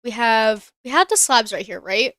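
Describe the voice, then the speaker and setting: Woman game streamer, a little high, bright and clear, casual running commentary with chat asides. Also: expressive, moderate pace, dry recording, studio quality. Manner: chatty, thinks aloud, answers chat.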